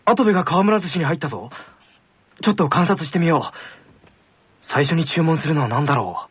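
A man's voice: a little high, animated, brisk, breathy edge, heavily acted. A man acting an eager, easily flustered teenage boy tennis player in a radio comedy skit. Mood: curious. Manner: eager, gullible, reactive. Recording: dull radio sound under loud music.

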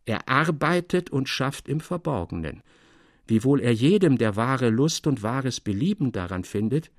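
A man voicing an elderly gentleman in a literary tale, speaking in a courteous, formal, old-fashioned manner. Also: medium pitch, animated, a little slow, dry recording, studio quality. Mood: confiding, assured. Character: elderly, politely formal gentleman, quietly knowing storyteller.

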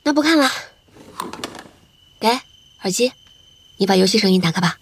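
An actress playing a cool, teasing young woman in an audio drama, with a husky, breathy voice. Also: medium pitch, animated, moderate pace, very noisy, natural voice. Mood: quiet, intimate. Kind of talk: Chinese audio drama acting.

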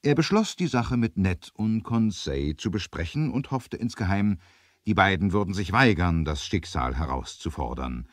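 Man, narrator, deep bass-leaning voice, lively intonation, theatrical, deliberate, scripted 1970s radio storytelling. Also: no room sound, studio quality.

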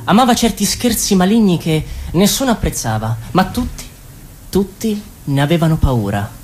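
A scornful political insider acted by a woman in a radio play, with a lowish, very animated voice. The manner is pointed and theatrical, like gossip over old grudges. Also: normal speed, slight background noise, close to an everyday voice. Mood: conspiratorial. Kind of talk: stage-style radio drama.

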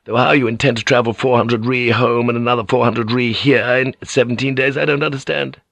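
A man's voice: medium pitch, steady tone, a little fast, vocal fry, fairly bright tone, dry recording, pitched above the performer's own voice. A man voicing an arrogant, ruthless Japanese warlord general in an audiobook, with a cold, scornful manner. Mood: icy, contemptuous. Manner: cold, scornful, rhetorical questioning. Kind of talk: dramatic audiobook character voice.

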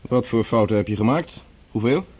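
An actor playing a blunt, impatient scientist who presses a suspect with accusing questions in a radio drama. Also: male voice, medium pitch, expressive, moderate pace, darkish tone, slightly rough. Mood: probing. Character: brisk, accusing male scientist interrogating a suspect.

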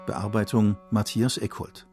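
Low-voiced male radio play announcer. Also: flat delivery, measured pace, music in the background. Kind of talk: formal scripted title announcement.

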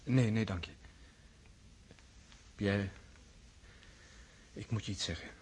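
A man's voice: fairly low pitch, takes it slowly, darkish tone, a little hiss or hum. An actor playing a serious young nobleman who talks in a reserved, hesitant way and sometimes stammers.

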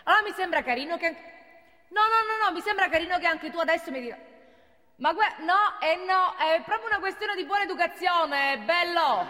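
An actress playing a flirtatious, excitable woman on a phone date in a comic stage monologue, coy, giggly and repeating herself. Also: very high-pitched, a little fast, exaggerated voice. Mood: flustered, insistent. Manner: coy, flustered, repetitive, giggly. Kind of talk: comic theatre monologue as one side of phone call. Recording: echoey theatre hall, clear background noise.

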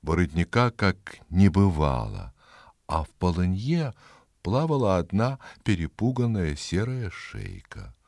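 Deep-voiced, animated male storyteller. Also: a little slow, dry recording, clean studio sound. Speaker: narrator. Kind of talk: expressive children's story narration.

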